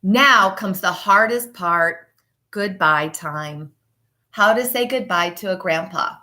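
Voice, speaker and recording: A woman teacher reading a children's picture book aloud and chatting to pupils, with expressive, emphatic delivery and deliberate pauses. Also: medium pitch.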